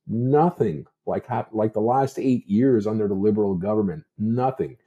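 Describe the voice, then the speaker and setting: A man's voice: on the low side, moderate pace, mellow, dull audio. A Canadian man giving unscripted political commentary as a talk show host, discursive and repeating himself for emphasis.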